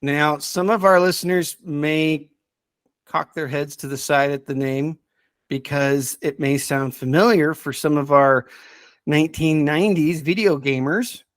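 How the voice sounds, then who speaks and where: A middle-aged man chatting casually as a podcast's subject expert, thinking aloud in a halting way with repeated words. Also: on the high side, steady tone, no room sound, clean studio sound. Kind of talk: casual chat.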